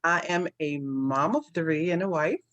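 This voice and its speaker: A woman's voice: deep voice, unhurried, fairly bright tone, no room sound, lo-fi sound. A woman in her fifties introducing herself on a panel call in a playful way. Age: 50s. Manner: playful and laughing. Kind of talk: casual self-introduction.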